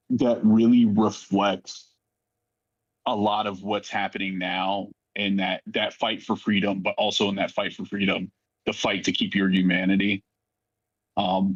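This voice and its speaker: A man who works as a voice actor, speaking off the cuff in a thoughtful, halting way as he reflects during a cast discussion. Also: fairly low pitch, fairly bright tone, husky, no echo, lo-fi sound. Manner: thoughtful, halting, searching for words. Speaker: voice actor in a fiction podcast.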